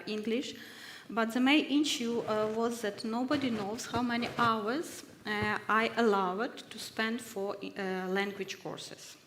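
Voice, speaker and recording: A woman from Ukraine, speaking haltingly on a workshop panel as a research fellow, with many hesitations. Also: medium pitch, fairly bright tone, slight background noise. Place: university ceremonial hall. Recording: echoey hall with long reverb.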